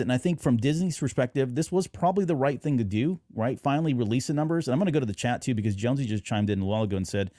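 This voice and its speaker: A man's voice: medium pitch, a little mellow, no room sound, clean studio sound. A middle-aged man hosting a fan podcast, talking through his points in a casual, agreeable, discursive way. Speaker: podcast host.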